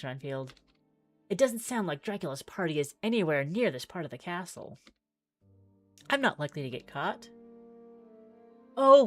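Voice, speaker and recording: A woman streaming a visual novel, reading the text aloud theatrically with wry, exclaiming asides. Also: low voice.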